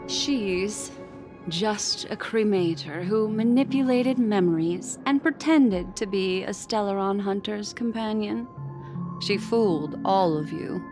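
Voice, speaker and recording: A woman's voice: mid-range voice, takes it slowly, over music, natural voice. A woman playing a poised, needling envoy in a video game, speaking in a theatrical, knowing, condescending way. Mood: knowing, composed. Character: poised, needling female envoy and exposer of lies.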